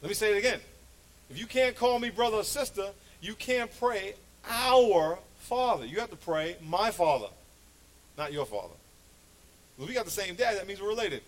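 A male preacher giving a conversational, anecdotal sermon, speaking in an animated, emphatic way and repeating phrases to make his point. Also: high voice, moderate pace, rough recording.

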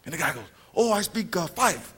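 Male pastor, high voice, animated storyteller mixing jokes and preaching. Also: brisk, breathy edge. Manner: animated storyteller, acts out voices, laughs often. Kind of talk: sermon with comic stories.

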